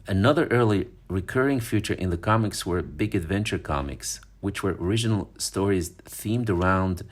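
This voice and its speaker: A man from Morocco, talking casually and fondly as he leafs through an old magazine, rambling with fillers and restarts. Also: low-pitched. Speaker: vlogger. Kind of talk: casual show-and-tell vlog.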